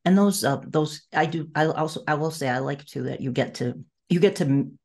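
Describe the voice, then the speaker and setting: A man with a creaky, fry-laden voice hosts a fan podcast. He talks casually and keenly, often doubling back on his words and restarting mid-phrase as he thinks aloud. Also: fairly high pitch. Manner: halting, repeats and restarts phrases. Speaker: podcast host. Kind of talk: casual enthusiastic fan discussion.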